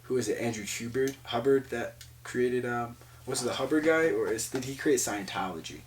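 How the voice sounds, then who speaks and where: A young man with a light South Jersey accent chats loosely on a podcast, talking slang and swearing freely. His voice sits at an ordinary middle pitch. He sounds slightly distant from the mic. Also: normal speed. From New Jersey. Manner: slangy, swears a lot, animated storytelling. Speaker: podcast host. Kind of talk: casual chat.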